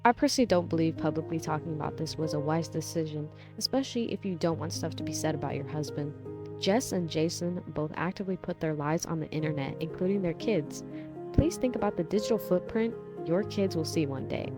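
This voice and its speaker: A woman's voice: low-pitched, fairly quick. A woman delivering critical scripted commentary as a video commentator. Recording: music behind the voice. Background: background music.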